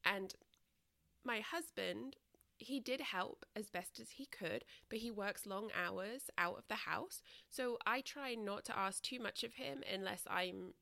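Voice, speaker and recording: A woman's voice: medium pitch, unhurried, creaky, bright, forceful tone, no echo. Chatty congested British-raised woman podcast host. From Britain. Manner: chatty and candid, many ums and self-corrections. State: congested, a little croaky. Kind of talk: casual solo podcast monologue.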